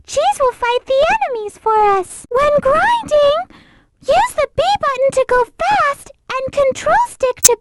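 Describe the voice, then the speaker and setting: This is a sweet little rabbit girl from a video game. Her voice is tiny and very high, childlike in every word. She speaks with polite, earnest care. Loud noise runs through the recording. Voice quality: small, childlike voice. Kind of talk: video game character voice acting.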